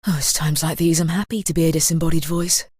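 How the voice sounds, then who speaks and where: Creepy narrator voice in a game, low n breathy, teasing, evil conscience vibe. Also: female voice, fairly even intonation, fast, a little mellow, no room sound, clean studio sound. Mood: sly, teasing. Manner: arch, teasing, conspiratorial. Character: sly, sinister disembodied narrator, murderous conscience. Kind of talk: video game character voice acting.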